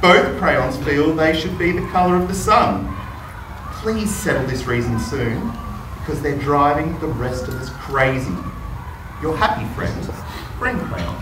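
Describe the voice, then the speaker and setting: A man's voice: a little high, very animated, measured pace. A man voicing a contented, formal, peacemaking green crayon in a storybook read-aloud. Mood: content, polite. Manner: formal, polite. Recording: distant, roomy classroom with noise.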